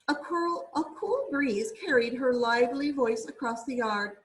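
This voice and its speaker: A woman reading a children's story aloud expressively, with deliberate, drawn-out emphasis for young listeners. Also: high-pitched, lively intonation, poor audio. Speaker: storybook reader.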